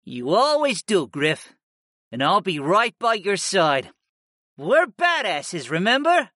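A man voicing a big, loyal cat-monster familiar in an audiobook, excitable and affectionate, with eager, buddy-like talk. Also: high-pitched, takes it slowly, no echo, clean studio sound, voice pushed up, exaggerated voice. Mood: reassuring. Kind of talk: audiobook character voice.

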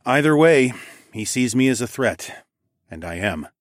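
A man's voice: medium pitch, lively intonation, measured pace, dry recording, clean studio sound, voice pushed up, natural voice. A man voicing a confident, frank male dragon lord hero in an audiobook. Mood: frank, resolute. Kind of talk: audiobook character dialogue.

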